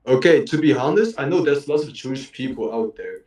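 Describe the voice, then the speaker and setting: A man's voice: mid-range voice, lively intonation, a little fast, a little mellow, roomy sound. A young man from the Netherlands talking casually, hesitant and repeating words, with slang. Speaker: street interviewee. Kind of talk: casual debate.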